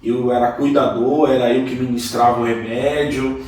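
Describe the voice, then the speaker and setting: A man from Salvador, a guest pastor telling his life story in a measured, earnest way in a podcast interview. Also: medium pitch, little pitch movement, darkish tone, far from the mic. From Salvador, Bahia, Brazil. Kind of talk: podcast interview, personal testimony. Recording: echoey room, voice from off-mic.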